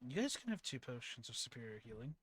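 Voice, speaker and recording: A man's voice: mid-range voice, very animated, fast talker, fairly bright tone. A man running a tabletop game as game master, chatting casually and laughing often between his words. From Philippines heritage.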